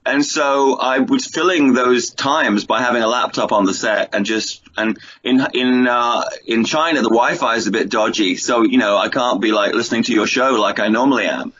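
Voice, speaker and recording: A man's voice: mid-range voice, moderate pace, few pauses, fairly bright tone. A middle-aged man, chatting and telling anecdotes as the guest in a radio interview. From England. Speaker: interview guest, film writer and producer. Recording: dull lo-fi sound with clear background noise.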